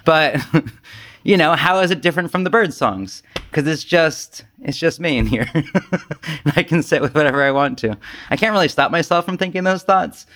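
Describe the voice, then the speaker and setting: A man giving an informal, rambling Zen talk as a retreat teacher, conversational, animated and self-deprecating. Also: on the high side, normal speed.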